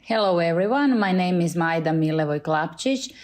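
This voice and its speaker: A woman's voice: on the low side, lively intonation, moderate pace, darkish tone. A middle-aged Croatian woman with a Croatian accent, a school librarian answering podcast questions in English with many fillers and restarts, recorded in a school library. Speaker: school librarian and Italian teacher, guest. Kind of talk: podcast interview.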